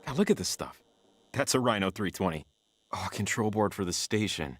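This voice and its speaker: An actor playing an affable, slightly timid sidekick in a video game, talking casually and naturally, with hesitant trailing-off phrases. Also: male voice, lowish voice, animated, normal speed. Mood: excited, then sheepish. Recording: music clearly behind the voice.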